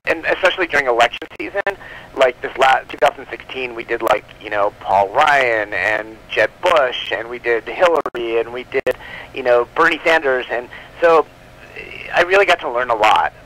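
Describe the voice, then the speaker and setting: Bright-voiced talkative man, interview guest. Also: medium pitch, normal speed, rough recording. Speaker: guest, comic book publisher. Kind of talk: casual radio interview.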